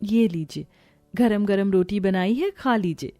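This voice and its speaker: A woman's voice: mid-range voice, unhurried, dark tone, no echo, soft background music, close to an everyday voice. Woman as meek young village wife, slightly raised. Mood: dutiful. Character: meek, self-sacrificing poor young daughter-in-law.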